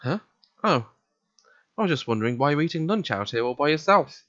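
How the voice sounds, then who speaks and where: A man's voice: on the high side, big pitch swings, fairly quick, a bit bright. A man reads visual novel narration and dialogue aloud for a let's play. His delivery is casual and conversational. The recording is a little dull and lo-fi. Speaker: let's play reader voicing the protagonist.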